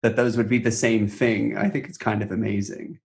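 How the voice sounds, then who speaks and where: A man with a fairly low voice speaks as a podcast co-host. He explains in a conversational, reflective way, building each point by restating and layering phrases. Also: flat delivery, moderate pace, creaky.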